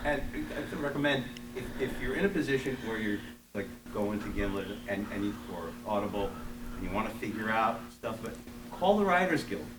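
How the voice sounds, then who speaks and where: Clear background noise and hum, man, low voice, earnest, halting, unscripted panel advice. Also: animated. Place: convention panel room. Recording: noisy room with hum.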